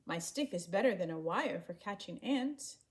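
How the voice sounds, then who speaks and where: A woman's voice: medium pitch, lively intonation, moderate pace, natural voice. A woman voicing an eager, resourceful young village boy in a storybook, earnest and explaining. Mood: friendly, clever.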